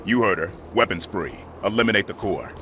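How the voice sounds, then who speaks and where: Low-voiced commanding squad leader. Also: male voice, expressive, brisk, background noise. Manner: terse, authoritative orders. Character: commanding, focused male soldier squad leader. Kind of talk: scripted video game acting. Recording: loud music and battle sounds over voice.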